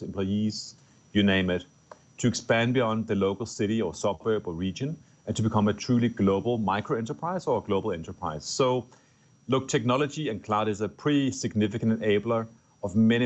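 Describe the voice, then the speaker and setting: A middle-aged man with a Danish accent, a tech executive answering interview questions earnestly, often restarting his phrases. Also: lowish voice. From Denmark. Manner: earnest, with frequent restarts and repeated words. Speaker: tech company executive guest.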